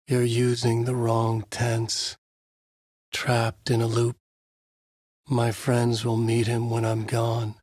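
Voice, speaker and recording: A haunted writer from a horror video game, husky and close, with a very dry sound. He is brooding and grave, delivering his words deliberately like noir narration. His pitch sits in an ordinary male middle range. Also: no room sound.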